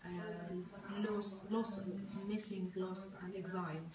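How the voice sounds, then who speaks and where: A young woman who speaks English with an Arabic accent talks through her art, hesitating and reaching for words. Her voice stays flat and even. The studio around her is roomy and echoing, with a crowd talking behind. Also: mid-range voice, slow, far from the mic. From Egypt. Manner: hesitant, searching for words, many fillers. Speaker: art student. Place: art studio classroom, indoors.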